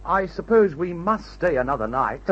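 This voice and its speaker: A man's voice: a little high, very animated, normal speed, a bit bright. An actor playing an earnest, matter-of-fact young airman in a mid-century radio adventure serial. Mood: amused, matter-of-fact. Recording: muffled old radio serial recording.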